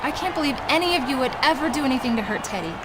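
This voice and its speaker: A woman playing a soft-hearted, upset young woman in a video game. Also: high-pitched, animated, very fast, background noise. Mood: distressed, worried. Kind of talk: video game cutscene acting.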